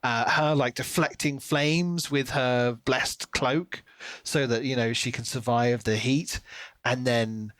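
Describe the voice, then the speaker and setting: A man co-hosting a podcast, talking through a book in a casual, animated retelling style. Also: mid-range voice, takes it slowly, no echo. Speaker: podcast co-host.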